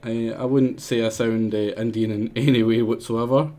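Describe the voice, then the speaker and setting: A man's voice: medium pitch, steady tone, normal speed, dark tone, soft background music. A man with a Scottish accent giving casual, chatty commentary as he plays a game live. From Scotland. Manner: chatty, muttering to himself. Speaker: streamer.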